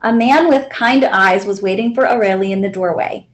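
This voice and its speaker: A woman's voice: mid-range voice, normal speed. A woman reading a children's picture book aloud as a library storyteller.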